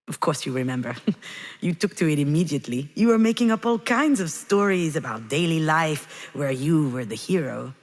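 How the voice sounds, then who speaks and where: Grieving mother for narrative game: low register, intimate halting confession, guilt-laden, long reverb tail. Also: lively intonation. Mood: fond, wistful. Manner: halting, confessional, addressing someone directly. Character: grieving, guilt-ridden mother confiding in her lost child. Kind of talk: dramatic video game voice acting, intimate monologue.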